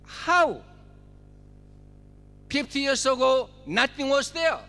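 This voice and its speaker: A man's voice: extremely high pitch, expressive, slow, bright, forceful tone, big-room sound. A man in his seventies from South Korea, speaking English with a Korean accent and giving a speech at a forum in short, emphatic phrases, repeating key words. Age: 70s. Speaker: guest speaker.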